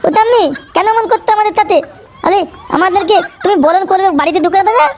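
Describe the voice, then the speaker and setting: A woman's voice: very high voice, fast, a little mellow, slight background noise. A woman voicing a cocky but cowardly young grandson in a comedy cartoon. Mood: whiny. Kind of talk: Bengali comedy cartoon dialogue.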